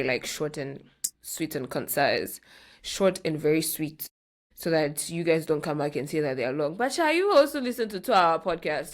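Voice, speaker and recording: A Kenyan woman hosting a podcast solo, talking casually and candidly to her listeners in a playful way. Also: sits low. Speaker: podcast host.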